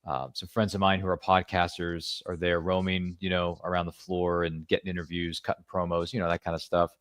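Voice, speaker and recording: A man with a very low, deep voice hosts a podcast interview, talking in a chatty, jokey way with playful asides. The sound is dry and close, with no room echo. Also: level tone, a little fast, a bit bright. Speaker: podcast host. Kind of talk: casual interview chat.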